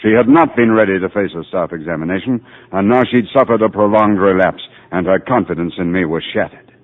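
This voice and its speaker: An actor playing a grave, caring psychiatrist in a radio drama, speaking in a measured, earnest way. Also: male voice, low-pitched, lightly acted. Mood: grave, regretful. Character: grave, caring male psychiatrist recounting a case. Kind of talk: mid-century American radio drama narration. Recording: muffled old radio recording.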